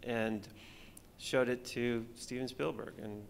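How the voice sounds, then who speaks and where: Gravelly middle-aged man, halting panel talk. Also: mid-range voice, fairly even intonation, a little hiss or hum. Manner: halting, many fillers and restarts. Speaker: panelist, film director. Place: conference stage, seated at panel table. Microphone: table mic on stand.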